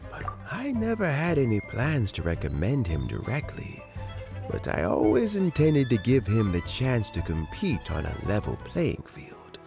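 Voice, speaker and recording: An actor playing an elderly, gentle statesman in a video game, reflective and courteous, with a weary, measured delivery. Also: on the low side, vocal fry, heavily acted. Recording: music behind the voice.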